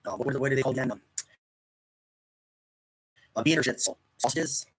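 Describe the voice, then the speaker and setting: A man in his fifties reminiscing casually in a rambling, halting monologue, often repeating words as he goes. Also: mid-range voice, husky. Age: 50s. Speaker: storyteller recounting military service.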